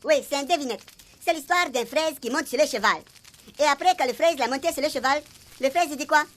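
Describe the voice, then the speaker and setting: A high, quick voice for a simple-hearted African man acted by a woman in a radio comedy. He is earnest and naive, repeating himself and muddling along as he talks. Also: natural voice. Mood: eager, muddled. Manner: earnest, repetitive, muddled storytelling. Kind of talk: comic radio serial acting.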